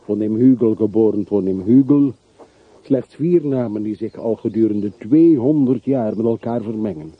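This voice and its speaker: A man's voice: fairly low pitch, normal speed, breathy edge. An actor playing a bitter, world-weary middle-aged man in a radio play, speaking tersely and broodingly on an old recording. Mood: bitter, detached. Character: bitter, world-weary man revisiting his hometown's dead. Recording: muffled, phone-like, noisy old radio recording.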